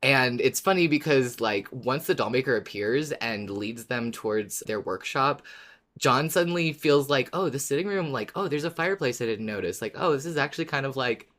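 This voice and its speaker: A man's voice: medium pitch, expressive, moderate pace, a bit bright. A man speaks as a podcast co-host in a mid-range voice. His delivery is conversational, suited to casual discussion and episode recaps.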